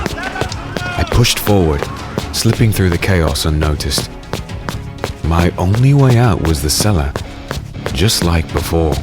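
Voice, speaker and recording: Haunted warrior dude, deep voice, grim n secretive, fantasy audiobook w big music behind. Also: male voice. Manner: brooding, terse, dramatic. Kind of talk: dark fantasy audio drama, first-person narration and dialogue.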